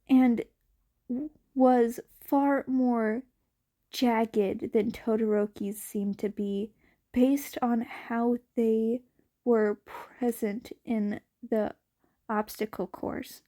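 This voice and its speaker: Slow, high-voiced woman reading a story. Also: dark tone. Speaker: fanfiction reader. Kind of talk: reading fanfiction aloud.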